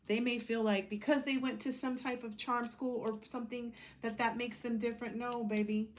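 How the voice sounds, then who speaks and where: A woman's voice: on the high side, flat delivery, normal speed. An American woman giving a casual, rambling tarot card reading in a blunt, sassy, colloquial way.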